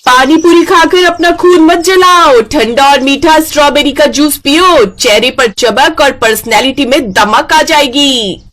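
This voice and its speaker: Woman acting a high-pitched, jealous young stepsister. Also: measured pace, few pauses. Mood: loud hawking. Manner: loud, boastful vendor calls and sulky grumbling. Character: scheming jealous young stepsister running a juice cart. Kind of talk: children's cartoon story acting.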